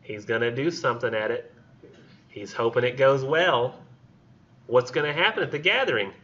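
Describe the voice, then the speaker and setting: Casual man lecturing in a bright voice. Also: medium pitch, normal speed. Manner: casual and conversational, acts out characters in quotes. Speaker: college literature instructor. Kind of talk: classroom lecture retelling a story. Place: classroom. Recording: muffled classroom recording.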